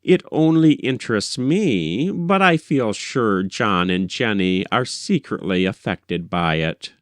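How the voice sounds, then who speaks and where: Slow-paced man reading classic fiction. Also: fairly low pitch, expressive, no room sound, clean studio sound. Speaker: audiobook reader. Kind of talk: scripted literary narration of a first-person short story.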